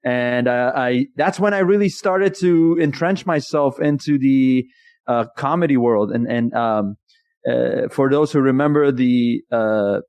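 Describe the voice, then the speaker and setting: A man in his thirties, originally from Iran, chats as a comedian guest in a jokey, animated way and slips into laughter mid-sentence. His pitch sits in a middle range. The sound is dry and close, with no room echo. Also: unhurried, clear, resonant tone, dull audio. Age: 30s. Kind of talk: casual interview storytelling.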